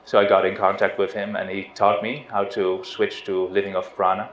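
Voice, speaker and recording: A middle-aged man from the Netherlands speaks in a relaxed, hesitant way, restarting his sentences and laughing softly now and then. His voice sits on the low side. The sound is roomy and somewhat dull, with faint noise underneath. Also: normal speed. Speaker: spiritual teacher. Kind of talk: informal satsang talk. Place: outdoors on a river boat.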